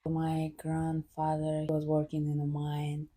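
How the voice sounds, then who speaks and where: A young woman from Kazakhstan, a podcast guest telling her story in a halting way with fillers. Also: low-pitched, completely flat delivery, warm tone. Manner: halting, with fillers and frequent laughs. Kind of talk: casual interview answers.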